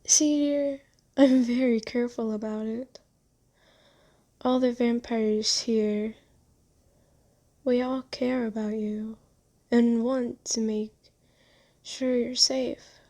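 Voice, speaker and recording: Girl doing a kind vampire owner for asmr, coaxing and gentle, really slow, pretty flat melody. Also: a little high. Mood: reassuring. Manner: gentle, coaxing, halting delivery. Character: kind, possessive female vampire owner, soothing and genteel. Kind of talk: ASMR roleplay, scripted monologue.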